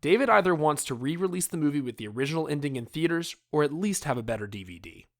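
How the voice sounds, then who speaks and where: A man's voice: on the high side, lively intonation, fast talker, no room sound, clean studio sound. A man playing a candid film director in re-enacted interview quotes, conversational and emphatic. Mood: earnest, explaining. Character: candid film director recalling his work, resigned and frank.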